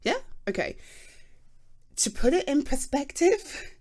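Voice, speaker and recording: A woman's voice: high voice, sing-song, takes it slowly, darkish tone, heavily acted. A British woman playing a flustered, chatty geology nerd in an ASMR roleplay, explaining things in a rambling, hesitant, eager and informal way.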